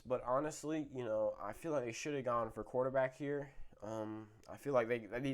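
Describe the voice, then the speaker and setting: A young man, a little sick, giving casual football predictions with lots of fillers and self-corrections. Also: mid-range voice. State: slightly sick, voice a bit off. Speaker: sports video host.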